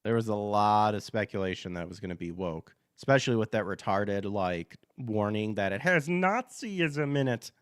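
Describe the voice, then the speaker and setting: Hoarse man ranting on a podcast. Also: lowish voice, expressive, normal speed, hardly any pauses. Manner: blunt, profane, ranting asides with fillers. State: hoarse from allergies. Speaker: podcast host. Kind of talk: casual commentary reading news articles aloud.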